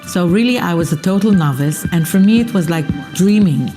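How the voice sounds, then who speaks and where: A woman's voice: on the low side, expressive, a little fast. A woman narrating her own life story in a reflective tone. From Israel. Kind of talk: personal testimony narration. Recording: music underneath.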